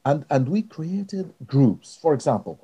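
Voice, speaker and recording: A middle-aged or older man from Israel with a Middle Eastern accent, a non-native English speaker answering interview questions earnestly and haltingly. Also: fairly high pitch, very animated, dark tone, breathy edge. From Nazareth, Israel. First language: not English. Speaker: interview guest, director of a peace organization.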